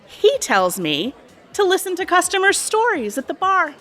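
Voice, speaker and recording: A woman's voice: very high-pitched, very animated, moderate pace, a bit bright, soft background music, a faint crowd, heavily acted. An actress playing a chatty, pushy, sly cocktail waitress in her thirties, breezy and overly familiar, in an audio drama. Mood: chatty, griping. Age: 30s. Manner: breezy, familiar, insinuating, talkative.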